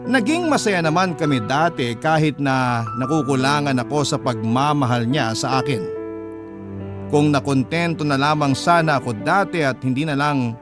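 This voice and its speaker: Higher-voiced male radio storyteller over music. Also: animated, normal speed. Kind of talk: dramatic first-person scripted narration of a listener's letter.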